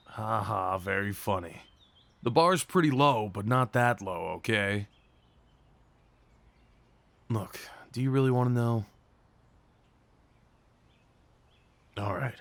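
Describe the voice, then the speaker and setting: A man playing a shy, sweet gentleman on a first date in an ASMR roleplay, speaking in an earnest, hesitant, tender way. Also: on the low side, no room sound, exaggerated voice. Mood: flustered, hesitant. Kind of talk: ASMR roleplay, intimate scripted acting.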